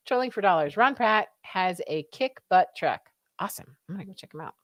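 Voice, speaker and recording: A woman's voice: mid-range voice, animated, normal speed, no echo, studio quality. A woman with a scratchy voice hosting a live stream, chatting casually and reading out viewer comments. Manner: chatty, rambling, reads comments aloud. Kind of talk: casual chat.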